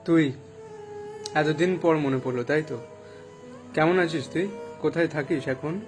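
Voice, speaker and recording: A man's voice: on the high side, measured pace, over music, lightly acted. A man acting an earnest, pleading young man searching for a lost friend in an audio drama. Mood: eager. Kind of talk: Bengali audio drama dialogue.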